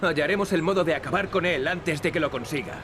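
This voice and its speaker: An actor playing a cocky, wisecracking young adventurer hero in a video game. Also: male voice, fairly high pitch, fast, noisy background, lightly acted. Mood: wry determination. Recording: loud game music behind. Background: music.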